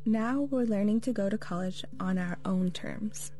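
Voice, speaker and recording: A woman hosting and narrating a radio documentary in a calm, measured storytelling voice. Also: mid-range voice, faint music behind. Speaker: host and narrator.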